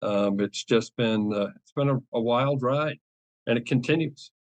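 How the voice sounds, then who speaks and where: A man's voice: medium pitch, measured pace, no room sound. A middle-aged man from New England with a Boston accent, a podcast guest talking casually and eagerly, with lots of ums and restarts. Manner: rambling and enthusiastic, many ums and restarts. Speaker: guest, cyber insurance advisor.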